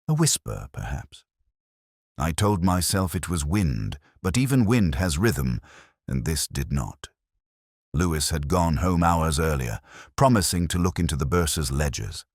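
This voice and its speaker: A man reading a first-person detective story as narrator, in a measured, brooding, reflective way, close to a studio mic. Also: rumbling low, slightly rough, no room sound.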